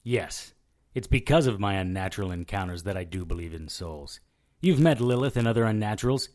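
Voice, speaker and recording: An actor playing a wisecracking, defiant noir investigator in an audio drama, dry and sardonic in dialogue and narration. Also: male voice, lowish voice, animated, fairly quick, darkish tone, lightly acted. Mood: irritated, sardonic.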